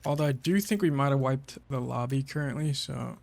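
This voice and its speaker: A man giving casual, calm commentary while streaming a shooter game, with a low-key delivery that shows little emotion. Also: brisk, vocal fry.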